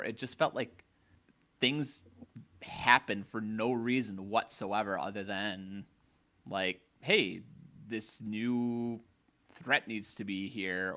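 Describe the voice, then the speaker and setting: A man co-hosting a casual gaming podcast, opinionated and rambling, a little hoarse, often restarting his sentences. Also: fairly low pitch, pushed and bright. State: slightly hoarse. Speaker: podcast co-host.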